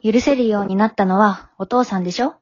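A woman acting in an amateur radio drama as a frank, matter-of-fact teenage daughter who chides gently. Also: medium pitch, quick pace, no echo, lo-fi sound, lightly acted. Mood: pointed. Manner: matter-of-fact, teasing, plain-spoken. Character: frank, forgiving teenage high-school daughter, gently chiding.

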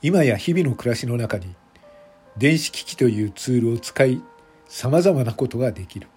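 A man reading scripted narration for an audio drama in a measured, deliberate manner, phrase by phrase. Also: mid-range voice, lively intonation, darkish tone, husky, soft background music.

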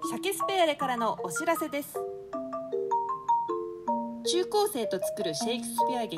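A woman reading scripted radio announcements in a polite, animated way. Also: a little high.

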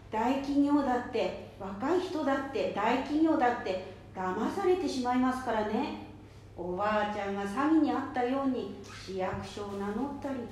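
A woman acts as a patient community advisor in an amateur radio play, explaining politely. Her voice is fairly high and soft-edged, very mellow in tone. It echoes strongly around the room. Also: close to an everyday voice. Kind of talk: amateur radio drama, instructive. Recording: very echoey room, faint noise.

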